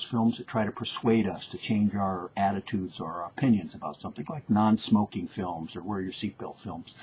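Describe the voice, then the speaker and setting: A man with a fairly low voice explains like a lecturer, informal and instructive. He rephrases points for emphasis. There is faint noise behind him. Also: a little mellow, poor audio.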